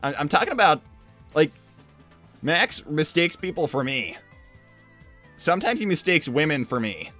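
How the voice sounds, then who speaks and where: A man voicing a cocky but kind teenage male hedgehog hero, casual and halting with an exasperated edge, in improvised cartoon livestream roleplay. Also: on the high side, big pitch swings, a bit bright. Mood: casual, explaining. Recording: faint music behind.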